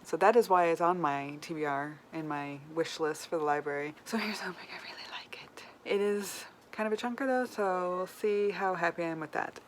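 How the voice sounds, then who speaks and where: Chatty woman vlogger, noisy room. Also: fairly low pitch. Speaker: book vlogger. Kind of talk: casual chatty vlog to viewers. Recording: clear background room noise.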